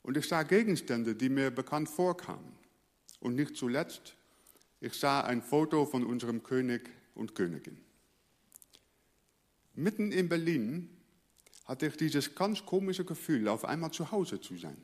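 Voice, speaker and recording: A man's voice: medium pitch, expressive, measured pace, long pauses. Male pastor, Dutch native speaker, earnest sermon, mid-range voice, reverberant hall. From Netherlands.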